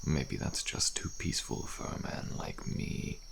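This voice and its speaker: A man voicing a world-weary, bitter deserter soldier on the run in an audio roleplay, speaking in a gravelly, breathy murmur with halting, musing phrases. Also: a bit bright, a little hiss or hum, natural voice. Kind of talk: audio roleplay, slow addressed monologue. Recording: faint outdoor night insect ambience.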